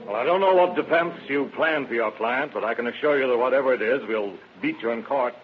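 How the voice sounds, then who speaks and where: An actor playing a formal, confident district attorney in an old radio drama. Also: male voice, medium pitch, a little fast, close to an everyday voice. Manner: formal, confident courtroom questioning and argument. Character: assured, formal male prosecuting district attorney. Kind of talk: 1940s radio acting. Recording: muffled, noisy old radio recording.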